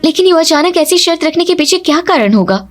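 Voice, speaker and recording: A woman's voice: very high voice, expressive, fairly quick, soft background music, voice pushed up. A woman voicing a clever, polite but insistent young daughter-in-law in a cartoon family story. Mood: worried.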